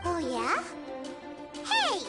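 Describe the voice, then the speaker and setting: Tiny childlike game fairy, extremely high squeaky voice, cheeky, blunt, loud music behind. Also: female voice, wildly swooping pitch, extremely slow, heavily acted. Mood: sassy, suspicious. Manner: exclamatory, teasing, speaks of self by name. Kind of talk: English video game voice acting.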